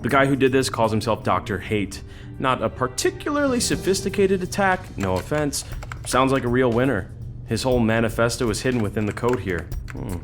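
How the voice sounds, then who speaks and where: An actor playing a playful, cocky computer hacker in an audio drama, with flippant, bantering delivery. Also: male voice, mid-range voice, very animated, brisk, faint music behind. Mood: wry, sardonic. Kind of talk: audio drama dialogue acting.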